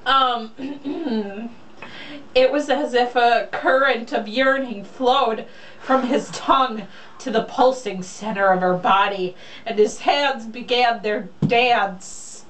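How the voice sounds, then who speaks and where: A woman's voice: fairly high pitch, takes it slowly, fairly bright tone. A young woman reading a romance novel aloud casually, in a mocking, irreverent way. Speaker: reader. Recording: echoey room, distant mic, faint noise.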